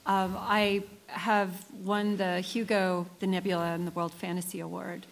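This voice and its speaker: A woman's voice: fairly low pitch, level tone, measured pace, vocal fry. A woman writer speaking on a convention panel and talking through ideas thoughtfully. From United States. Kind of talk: panel discussion.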